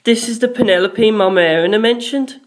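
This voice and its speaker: Woman playing an imperious young woman, raised pitch. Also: a little fast, natural voice. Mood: haughty. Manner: imperious, emphatic. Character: haughty, protective, sharp-tempered young woman.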